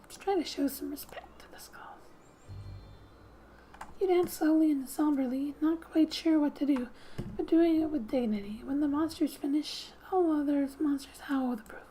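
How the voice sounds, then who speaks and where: A soft-spoken woman doing ASMR gaming commentary, gently reading story text aloud and often dropping to a whisper, in an unhurried way. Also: high-pitched, a little hiss or hum, low-quality recording. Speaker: video game streamer. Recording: quiet background music. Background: soft music.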